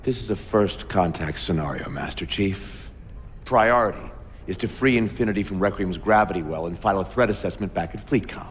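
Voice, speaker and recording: An actor playing a gruff, commanding warship captain, brusque and authoritative, in a dramatic game cutscene. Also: male voice, low-pitched, fairly quick, big-room sound, a little hiss or hum, close to an everyday voice. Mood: stern.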